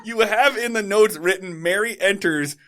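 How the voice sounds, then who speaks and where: A man's voice: sits high, moderate pace, noisy background. A man co-hosting a podcast, joking and chatting casually about a TV show. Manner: lively, joking, laughs often. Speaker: podcast co-host. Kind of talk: casual chat.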